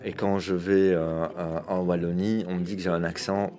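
A man's voice: low-pitched, flat delivery, moderate pace, mellow. A man with a Liège Belgian French accent, chatting casually and with amusement in an interview. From Liège region, Belgium. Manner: amused, self-deprecating, laughs between remarks. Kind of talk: casual anecdotal chat.

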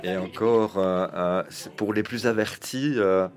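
A man with a clear Liège Belgian French accent talks casually in an interview. His voice sits fairly low. He is amused and self-deprecating, with little laughs between remarks. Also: steady tone, unhurried, crowd noise. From Liège region, Belgium. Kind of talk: casual anecdotal chat.

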